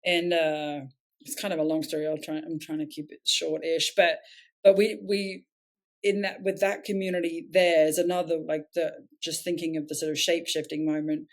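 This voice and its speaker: A woman's voice: deep voice, little pitch movement, normal speed. A woman with an English accent, a nonprofit founder telling her story in an interview, reflective and earnest, often correcting herself mid-sentence.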